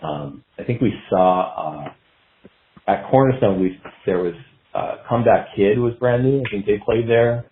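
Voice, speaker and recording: Male interview guest, very low voice, casual and meandering, roomy lo-fi recording. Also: unhurried, slight background noise. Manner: hesitant, meandering, filler-heavy. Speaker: guest musician, guitarist. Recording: dull lo-fi roomy call sound.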